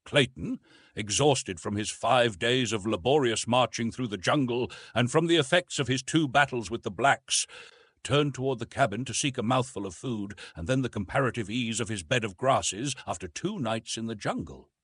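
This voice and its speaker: A man reading an adventure novel as an audiobook, with expressive, dramatic storytelling. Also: mid-range voice, moderate pace, slightly rough, dry recording, studio quality. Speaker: audiobook narrator. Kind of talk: scripted narration of an adventure novel.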